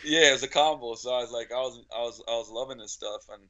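A man from Slovenia speaks English as a podcast guest, in a low-key lo-fi recording with a slightly dull sound. He talks informally, telling stories in a halting, repeating flow. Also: sharp tone. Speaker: podcast guest, strength coach and gym owner. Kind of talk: casual conversational storytelling.

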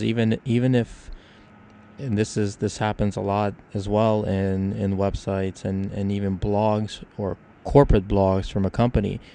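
A man's voice: on the low side, gravelly, darkish tone. A man from Ukraine hosting a casual discussion, talking things through with examples. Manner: conversational, explains with examples. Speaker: podcast host.

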